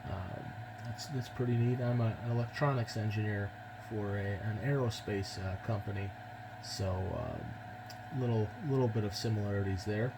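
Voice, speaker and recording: A man's voice: lowish voice, fairly even intonation, moderate pace, hardly any pauses, noisy background. An American man chatting casually as an amateur radio operator, speaking politely and a little hesitantly. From United States. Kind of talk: casual ham radio contact.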